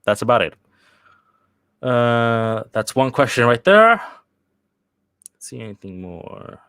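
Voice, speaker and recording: A man's voice: mid-range voice, sing-song, fairly bright tone, clean tone, no echo. Rambling young man, dry close sound. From Sweden. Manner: rambling, halting, with many restarts and fillers. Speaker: channel host answering live chat questions. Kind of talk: casual livestream chat.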